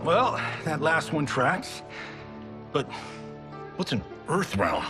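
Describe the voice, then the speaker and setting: An actor playing a cocky, glib Hollywood action star in a video game, with an American accent and a sarcastic, theatrical manner. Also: male voice, fairly high pitch, sing-song, slow pace, over music. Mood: baffled, wry. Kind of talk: cinematic video game dialogue.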